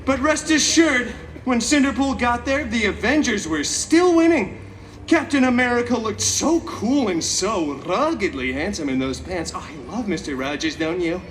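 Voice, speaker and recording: A man's voice: high voice, animated, normal speed, a bit bright. A man playing a chaotic, cheeky, vain masked antihero who tells a story theatrically to a live audience with sassy, mock-sweet asides. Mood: theatrical, gossipy. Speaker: theme park stage show performer. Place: theme park stage, recorded from the audience. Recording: amplified live show, distant, crowd noise.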